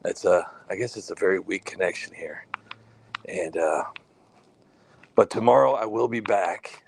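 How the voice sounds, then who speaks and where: A man with a lisp, chatting on a live stream from outdoors in the woods in a halting, rambling way full of fillers. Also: low voice, vocal fry, darkish tone, slightly rough. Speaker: livestream host. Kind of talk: casual live-stream chat.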